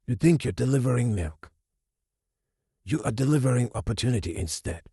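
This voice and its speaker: Cold, controlled crime boss for audio drama, male actor: very raspy, dark-toned, deliberate delivery. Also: medium pitch, animated, dry recording, studio quality. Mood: calm, calculating. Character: calculating, coldly confident male mafia boss.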